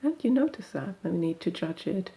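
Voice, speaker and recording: A woman guiding a meditation in a gentle, unhurried, soothing manner, leaving long pauses between phrases. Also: on the low side, animated, low-quality recording. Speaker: meditation guide. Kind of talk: guided meditation.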